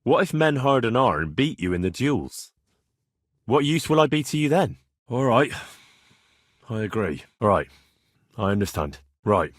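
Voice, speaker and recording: Game role: cheeky young swordsman in a medieval town. Husky, very animated, blunt bargainer. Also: mid-range voice, unhurried, long pauses, no echo, clean studio sound. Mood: cocky bargaining. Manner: blunt, haggling, cocky. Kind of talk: video game character dialogue.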